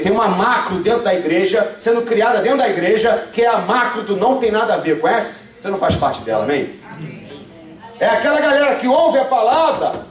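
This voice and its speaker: Man, Rio de Janeiro accent, young adult, fervent revival preacher, call-and-response, high voice, roomy distant sound. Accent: Rio de Janeiro Brazilian Portuguese. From Brazil. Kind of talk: revival sermon. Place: church sanctuary. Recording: echoey church from far off.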